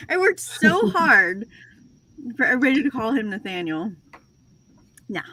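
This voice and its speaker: A woman's voice: on the high side, big pitch swings, normal speed, sharp tone, dry recording, poor audio. A woman in her forties hosting a casual livestream chat, talkative and self-deprecating, laughing often as she tells stories. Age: 40s. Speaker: host of a reseller livestream.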